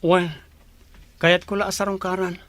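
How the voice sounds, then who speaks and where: A polite, earnest young man acted by a woman in an audio drama. The voice sits somewhat low and keeps a courteous tone. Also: little pitch movement, normal speed, lightly acted.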